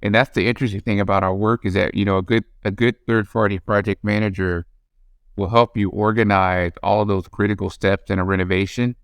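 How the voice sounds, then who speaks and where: A man's voice: lowish voice, little pitch movement, a little fast, dry recording, clean studio sound. A man hosting an interview podcast, asking his guest questions in an easygoing way. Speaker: podcast host. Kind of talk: interview host.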